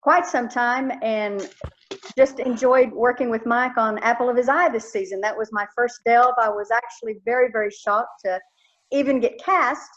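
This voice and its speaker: A woman's voice: fairly high pitch, fairly even intonation, normal speed, fairly bright tone. A woman chatting casually as she introduces herself as an amateur actor on a video call. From lives in Texas, United States. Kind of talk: casual chat. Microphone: video call microphone. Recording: dull, lo-fi video call audio.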